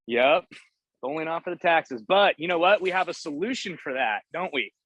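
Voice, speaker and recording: A man's voice: fairly high pitch, animated, normal speed, pushed and bright, no echo. A man chatting on a video call in an upbeat, breezy way. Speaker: call participant. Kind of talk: casual chat on a video call.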